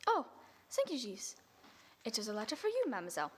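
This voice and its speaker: A woman's voice: on the high side, fast talker, heavy background noise. A woman playing a chatty French maid. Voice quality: broken English, French phrases. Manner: lively, flattering. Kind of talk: radio drama acting.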